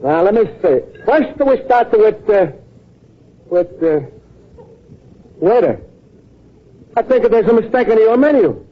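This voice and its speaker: An eager, innocent Italian immigrant in an old radio comedy. His very high voice bounces around in simple, earnest broken English with a strong Italian accent. The sound is dull and a bit noisy, like a vintage broadcast. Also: animated, slow, pauses often, full character voice. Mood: puzzled. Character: naive, eager, warmhearted Italian immigrant man in America.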